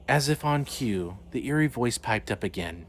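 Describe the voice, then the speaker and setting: A man's voice: medium pitch, animated, normal speed. A man narrating a scripted dramatic story as the narrator of an audio drama. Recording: faint ambient noise.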